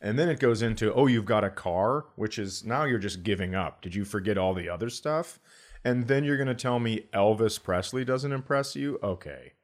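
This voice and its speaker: Male comedy podcast co-host, somewhat low voice, casual banter, sardonic and sweary. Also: animated, moderate pace.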